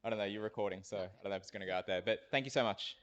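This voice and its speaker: A man hosting a live meetup, talking quickly and casually to his guests and the audience with many fillers. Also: on the low side, fairly bright tone. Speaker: event host. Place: event room with a live audience.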